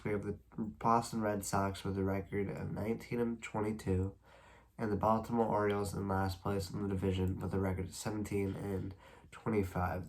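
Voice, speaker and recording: A young man with a stuffy, congested nose and a slightly worn voice, casually recapping baseball standings as a video host and rambling a little between stats. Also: fairly low pitch. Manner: reads stats at a steady clip, rambling asides. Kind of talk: casual solo sports recap.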